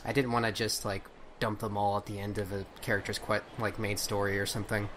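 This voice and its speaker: A man casually commentating on a video game he recorded, relaxed and rambling. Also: lowish voice, a little fast, a little hiss or hum. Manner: relaxed, rambling, trails off and restarts. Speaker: let's play host.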